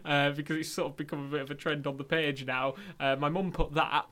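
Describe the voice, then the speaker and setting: A man's voice: a little high, little pitch movement, a bit bright. A young man, hosting a casual student radio chat show from a studio mic and laughing often. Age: 20s. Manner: chatty, laughs often, quick-witted. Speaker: radio host.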